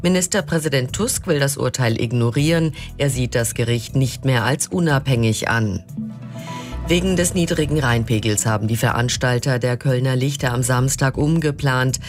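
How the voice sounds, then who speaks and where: A man presents radio news in standard German, reading from a script. His voice sits at a medium pitch. Faint music runs behind him. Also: normal speed.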